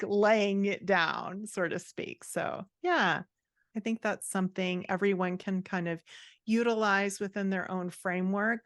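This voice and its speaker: A woman's voice: medium pitch, no room sound. Blunt, emphatic woman giving advice, dry close sound. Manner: emphatic, blunt, acts out quotes. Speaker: writing coach and author, podcast guest. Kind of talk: conversational interview, giving advice.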